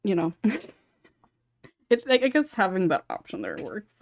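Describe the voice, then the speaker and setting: A woman's voice: mid-range voice, sing-song, normal speed. A woman chatting casually as a podcast panelist, informal and hedging as she explains her thoughts. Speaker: podcast panelist, script writer. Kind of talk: casual chat.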